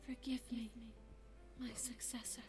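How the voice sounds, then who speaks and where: A woman's voice: fairly high pitch, flat delivery, a little slow, over music, close to an everyday voice. A woman playing a devoted, guilt-ridden female attendant in a fantasy video game, speaking solemnly and earnestly. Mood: grieving, remorseful. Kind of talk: dramatic video game cutscene acting.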